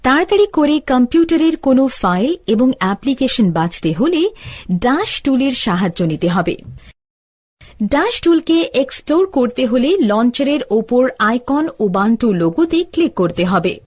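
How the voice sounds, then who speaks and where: High-pitched woman narrating a tutorial. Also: sing-song, moderate pace, darkish tone, no echo, clean studio sound. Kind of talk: scripted instructional narration.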